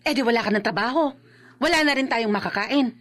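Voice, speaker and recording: A woman playing a nagging, exasperated wife in a radio drama, scolding with a sharp tongue. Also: on the high side, fairly quick, close to an everyday voice. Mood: exasperated, accusing. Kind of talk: emotive radio drama acting.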